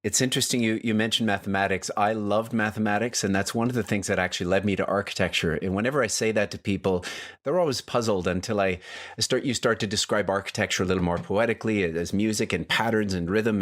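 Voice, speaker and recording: Canadian male podcast host, thoughtful and searching, somewhat low. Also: quick pace, a bit bright. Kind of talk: casual interview chat.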